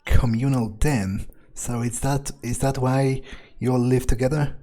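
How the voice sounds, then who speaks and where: A man's voice: medium pitch, steady tone, a little slow, warm tone, natural voice. A man roleplaying a cynical, self-serving male vampire in a game playthrough, improvising in a hesitant, muttering, dismissive way. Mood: curious, guarded. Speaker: gamer roleplaying his character. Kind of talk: in-character game commentary, improvised.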